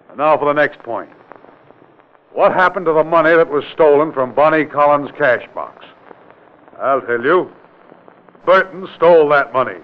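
An actor playing a forceful, brusque state investigator in a mid-century radio drama, speaking with authority and pressing his questions insistently. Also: fairly high pitch, close to an everyday voice. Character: forceful, commanding male state investigator exposing a killer. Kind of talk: 1940s-50s radio drama acting. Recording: tinny, band-limited vintage radio recording.

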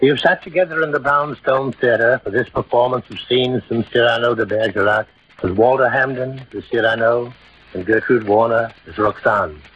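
A man, a courtly, measured 1940s radio theatre host speaking formally. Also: mid-range voice, a little hiss or hum. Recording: muffled vintage radio recording, music behind.

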